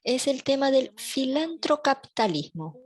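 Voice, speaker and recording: A woman interpreting a panel talk in a measured, even way over a video call. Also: high voice, breathy edge. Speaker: simultaneous interpreter.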